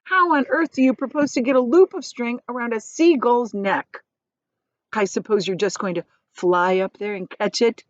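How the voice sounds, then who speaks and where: A woman voicing a stubborn, panicky male earthworm in a children's storybook, protesting with loud indignation. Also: a little high, moderate pace, no echo, dull audio, voice pushed up, lightly acted. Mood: indignant, sharp. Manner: protesting, blustering, exclamatory. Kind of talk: children's storybook character reading.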